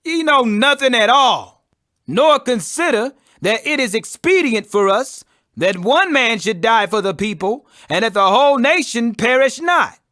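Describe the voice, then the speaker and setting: A man's voice: very high-pitched, animated, unhurried, bright, forceful tone, no echo, clean studio sound, voice pushed up, heavily acted. A man voicing a haughty, urgent council priest who declaims emphatically in a dramatized scripture reading.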